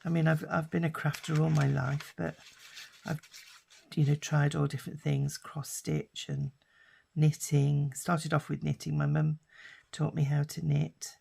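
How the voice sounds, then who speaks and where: An older British woman with a husky, hoarse voice from a lingering cough, chatting casually while she crafts. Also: deep voice, lively intonation, unhurried, mellow. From United Kingdom. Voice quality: husky, raspy. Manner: rambling and chatty, with many fillers. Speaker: craft vlogger.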